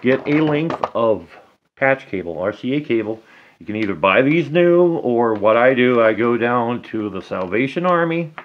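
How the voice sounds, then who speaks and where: A middle-aged man giving a casual hands-on repair tutorial at a table indoors, talking slowly and haltingly, half to himself as he works. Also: mid-range voice, animated, highs cut off, a little hiss or hum. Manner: slow, halting, talks to himself while working. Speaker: DIY hobbyist demonstrating a repair.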